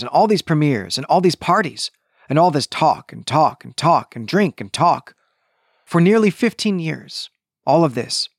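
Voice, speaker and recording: Man with very lively, swooping intonation. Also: medium pitch, creaky, dry recording, clean studio sound. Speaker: podcast host and book reviewer. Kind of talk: podcast book review, reading passages and commenting.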